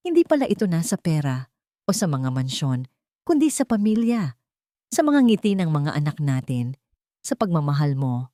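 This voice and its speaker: A woman's voice: deep voice, very animated, normal speed, long pauses, dark tone, dry recording, studio quality. A woman with a Filipino accent voicing a devoted, earnest billionaire husband and father in an audiobook romance. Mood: tender gratitude.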